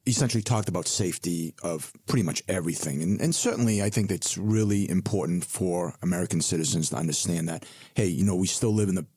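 A man's voice: low voice, fast, a little mellow, breathy edge, dry recording. A man co-hosting a retirement radio show as a financial advisor, talking in a conversational, reassuring way and leaning hard on key figures.

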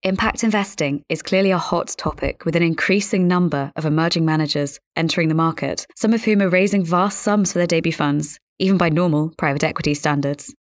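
Low-pitched woman hosting a podcast. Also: brisk, few pauses, no echo, studio quality. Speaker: podcast host. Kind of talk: scripted podcast narration and interviewing.

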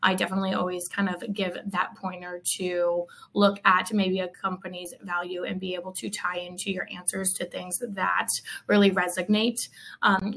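A woman with a gravelly, creaky edge to her voice and a very even, flat melody. She offers career tips conversationally as a guest, building her thoughts in short, hesitant phrases. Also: on the low side, poor audio. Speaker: staffing professional giving advice as a guest. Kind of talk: conversational interview advice.

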